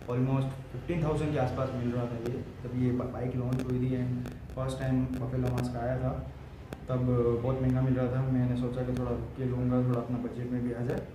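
Flat-toned young man, echoey recording. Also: medium pitch. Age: 20s. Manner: repetitive, informal, mixes in English words. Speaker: vlogger. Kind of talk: casual product review to camera. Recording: echoey room with clear background noise.

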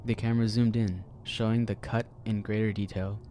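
A man narrating a horror story in a calm, measured storytelling voice. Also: lowish voice, a little hiss or hum.

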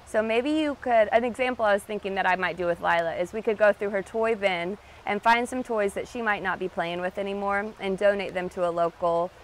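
Slow, gentle young woman outdoors. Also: medium pitch, a little hiss or hum. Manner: slow, simple and encouraging. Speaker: children's time leader. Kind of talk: gentle talk to children. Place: outdoors by a brick wall.

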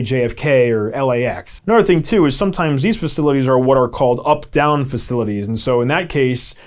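Conversational American man explaining to camera. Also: mid-range voice. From Albany, New York, USA. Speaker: video presenter. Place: small home office, indoors.

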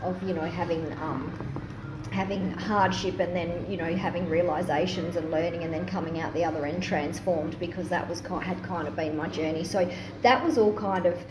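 A woman talks as a guest in a casual live interview, informally thinking aloud. Her voice is a bit low, and the room is echoey with clear noise. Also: fairly even intonation, moderate pace. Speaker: guest poet. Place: live in a taproom bar. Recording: echoey, noisy live venue recording.

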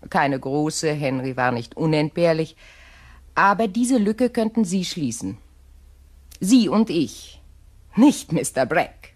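An actress in a radio play playing an imperious middle-aged widow, genteel, measured and coolly sardonic. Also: female voice, sits low, animated, a little mellow, exaggerated voice. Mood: composed, calculating.

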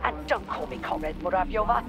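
An actress playing a brusque, commanding, foul-mouthed old granny in a video game. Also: female voice, on the low side, animated, a little fast, a crowd in the background. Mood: indignant. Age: older.

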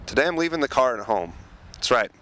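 A man's voice: medium pitch, big pitch swings, fast talker. A man in his thirties hosting a mock news report with a handheld mic, outdoors on a city street. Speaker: host reporter. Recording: loud street noise behind.

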